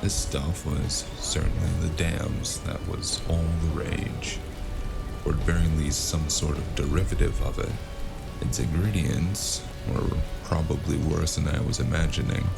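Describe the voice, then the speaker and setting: A man playing a world-weary, wry noir freelancer in a gravelly voice, narrating a cyberpunk fantasy audio drama in the first person. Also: very deep voice, steady tone, full character voice. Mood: brooding, wry. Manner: wry, brooding, unhurried. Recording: constant loud music underneath. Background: loud music.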